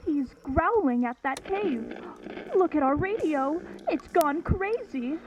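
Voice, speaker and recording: A woman's voice: high-pitched, expressive, slow, a little mellow, slight background noise. A woman playing an earnest, anxious young scientist heroine in a melodramatic radio adventure serial. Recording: dull, distant dry recording.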